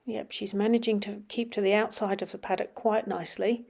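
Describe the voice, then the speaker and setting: Chatty sniffly mum narrating riding clips. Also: female voice, mid-range voice, steady tone, brisk, gravelly. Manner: chatty, many ums, drops to quiet murmurs while watching. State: sniffly with a runny nose. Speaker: vlogger. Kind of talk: casual voice-over commentary on riding videos.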